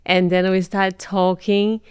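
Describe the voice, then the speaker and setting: Woman podcast host from Brazil, mid pitch, smooth clear voice, dry close sound, eager interviewer. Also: flat delivery, darkish tone, no room sound. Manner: enthusiastic, halting with fillers.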